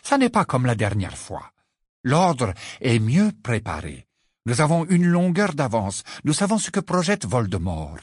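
A man reading the part of a calm, grave male wizard in an audiobook, speaking firmly and soothingly. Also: medium pitch, a little slow, husky, dry recording, clean studio sound, voice pushed up, exaggerated voice. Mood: firm, reassuring. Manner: grave, reassuring, firm.

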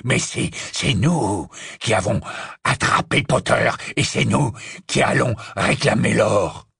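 A man voicing a sly male werewolf with a rasping, gruff voice and slow insolence, in an audiobook story. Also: medium pitch, fairly bright tone, no room sound, clean studio sound, heavily acted. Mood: insolent.

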